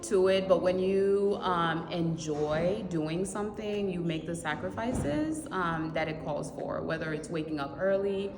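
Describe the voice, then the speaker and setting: A woman from Haiti in her thirties, a marathon runner answering interview questions in an animated way, laughing often and repeating words for emphasis. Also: fairly low pitch, a little slow, few pauses. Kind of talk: casual interview. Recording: echoey, reverberant with loud ambient noise.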